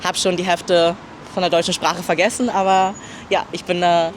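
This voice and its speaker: A woman in her twenties from Germany, answering interview questions in a relaxed, halting way. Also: lowish voice, slight background noise. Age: 20s. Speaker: interview guest.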